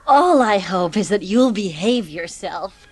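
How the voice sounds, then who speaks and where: A woman's voice: mid-range voice, lively intonation, unhurried, over music, close to an everyday voice. A woman playing a stern, no-nonsense older farm woman in an audiobook dramatisation, with a dry, curt manner.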